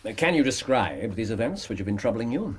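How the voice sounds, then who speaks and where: An actor playing a cool, courteous gentleman detective in a period radio drama, precise and probing, with dry wit. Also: mid-range voice, sing-song, fairly quick, slightly rough. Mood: courteous, businesslike.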